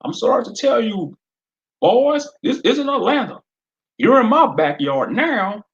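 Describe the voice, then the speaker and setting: A man's voice: very high voice, very animated, measured pace, muffled recording, voice pushed up, exaggerated voice. A man voicing a bullying small-town sheriff with a Southern drawl, sneering and menacing. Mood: taunting.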